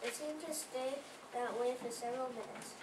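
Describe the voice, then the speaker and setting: Woman, very high voice, scripted dramatic reading, recorded far off with clear noise. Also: normal speed. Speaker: readers theater reader of survivor accounts. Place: library readers theater performance, indoors. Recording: echoey room from afar, noisy.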